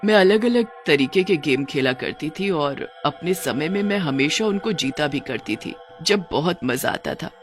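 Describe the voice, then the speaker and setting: A gentle, motherly village woman from a cartoon story, played by a woman narrator. She speaks lowly and instructively, as if to her child, over light music. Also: lively intonation, a little slow, few pauses. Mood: reminiscing.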